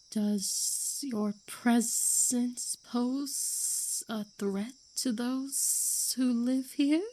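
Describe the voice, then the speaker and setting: Woman acting a very slow, mesmerizing creature. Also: medium pitch, warm tone, husky, heavily acted. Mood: hypnotic, commanding. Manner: slow, deliberate, soothing. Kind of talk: ASMR roleplay acting.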